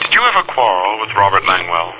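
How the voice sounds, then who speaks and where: An actor playing a stern, formal police interrogator in an old-time radio drama, questioning in clipped phrases. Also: male voice, lowish voice, very animated, quick pace, over music. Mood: stern, probing. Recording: muffled, noisy vintage radio broadcast.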